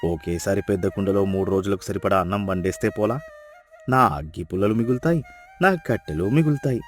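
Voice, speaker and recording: A man's voice: sits low, fairly quick, soft background music, close to an everyday voice. A man voicing a miserly, cunning village farmer in a moral story, whining and grumbling with exaggerated excuses. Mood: scheming, grumbling. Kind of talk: dramatised moral story voice acting.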